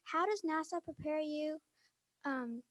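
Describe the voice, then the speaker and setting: High-voiced female student, very noisy recording. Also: a little slow. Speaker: student asking questions. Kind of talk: prepared questions over radio. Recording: very noisy radio contact audio, clicks.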